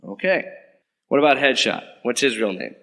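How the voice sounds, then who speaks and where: A man's voice: medium pitch, animated, moderate pace, a bit bright, long reverb tail. A man playing an earnest, slightly awkward government agent who asks questions politely and hesitantly. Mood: questioning. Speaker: interrogating agent. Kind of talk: audio drama acting.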